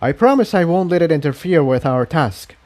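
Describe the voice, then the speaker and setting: A man's voice: fairly high pitch, animated, brisk, a little mellow, voice pushed up, close to an everyday voice. A man voicing an awkward, nervous female pony who is eager to please, in a storybook reading. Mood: stiff, apologetic. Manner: flustered, apologetic.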